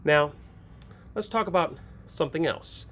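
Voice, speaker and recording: A man's voice: fairly high pitch, unhurried, a bit bright. An American man giving casual, unscripted advice as a game master show host, in a rambling, conversational way. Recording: clearly noisy ambient background.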